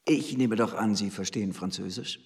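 A man's voice: on the low side, moderate pace, breathy edge. An actor playing a worldly, condescending diplomat in a radio drama, drawling mockingly and sprinkling his talk with French. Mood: amused, mocking. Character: suave, mocking male embassy first secretary, worldly and witty. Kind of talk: German radio drama acting.

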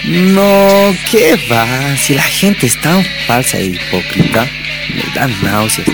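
A disillusioned young man in a radio drama, played by a male actor, speaks with bitterness and guilt at a middling pitch. Loud guitar music plays underneath him. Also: very animated, slow pace. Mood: bitter, indignant.

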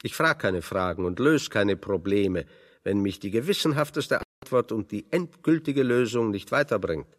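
Radio play: wry exiled intellectual, lowish voice, discursive and pointedly ironic. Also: male voice, expressive, moderate pace. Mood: wry, musing. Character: wry, pessimistic intellectual man in exile, fond of lecturing. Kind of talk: German radio drama dialogue.

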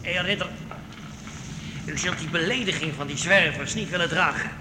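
A man's voice: on the high side, moderate pace, close to an everyday voice. An actor playing a scheming, servile henchman who speaks deferentially to his lord in a radio play. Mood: sly, eager. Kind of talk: Dutch radio play acting. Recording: noisy reverberant radio play recording.

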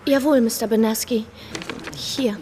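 An actress playing a polite office assistant in a German radio drama. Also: female voice, on the high side, measured pace, mellow, a little hiss or hum, close to an everyday voice. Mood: deferential.

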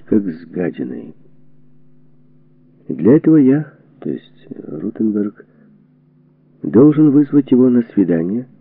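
A man reading a historical book as a scripted audiobook narration, in a measured, deliberate way with weighted pauses, on a narrow lo-fi recording. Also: lowish voice, vocal fry, no echo. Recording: narrow phone-like band, lo-fi audio.

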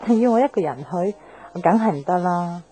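A mellow young woman voiced by an actress speaks in a radio drama. She is restless and craves a new life, explaining herself conversationally and insistently. Faint music plays behind her. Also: lowish voice, animated, a little fast, lightly acted, poor audio. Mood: wistful, insistent. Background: faint background music.

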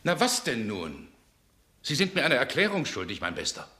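An actor playing a brooding, suspicious gentleman in a 1960s German radio drama, sardonic and agitated in manner. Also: mid-range voice, huge pitch swings, normal speed. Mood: sardonic, imperious. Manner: agitated, sardonic, muttering to himself. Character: brooding, suspicious gentleman confronting a nocturnal visitor.

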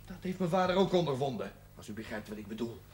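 An actor playing a defiant, sharp-tongued young village son in a television period drama, speaking bluntly and colloquially. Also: male voice, medium pitch, big pitch swings, fast, slight background noise, lightly acted. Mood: bitter. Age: young adult.

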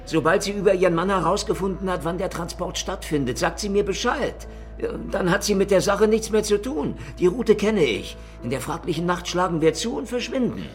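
A man's voice: high-pitched, lively intonation, fairly quick, faint music behind. A man playing a hot-headed, defensive ex-convict in a crime radio drama, speaking emphatically and argumentatively. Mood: confident, insistent.

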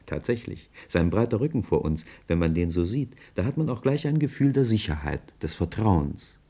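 An actor playing a curious, admiring passenger who narrates in a German radio play, chatty and confiding with the listener. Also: male voice, fairly low pitch, animated, moderate pace, warm tone, husky.

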